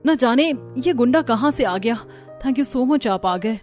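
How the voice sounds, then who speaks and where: High-voiced young cartoon woman, acted by a woman. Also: expressive, a little slow, a little mellow, soft background music. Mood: grateful, polite. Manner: polite, mixes in English phrases. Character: polite, grateful rich young woman. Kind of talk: Hindi cartoon story dubbing.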